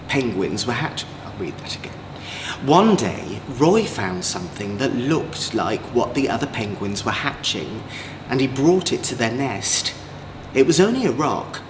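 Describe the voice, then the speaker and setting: A man with an English accent, a drag queen storyteller, reading a children's story in an expressive, gentle way. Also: mid-range voice. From Manchester, England. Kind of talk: children's storybook read-aloud. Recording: echoey room, clear background noise.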